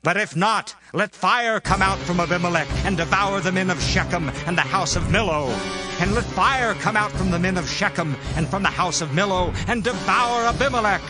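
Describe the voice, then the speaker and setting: A man performs an impassioned, wronged speaker in a biblical audio drama. His high voice declaims solemnly, leaning on key phrases. Music plays clearly underneath. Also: moderate pace, close to an everyday voice. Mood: accusing, impassioned. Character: impassioned wronged young man proclaiming a parable and curse. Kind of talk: dramatized Bible reading. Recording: music behind the voice.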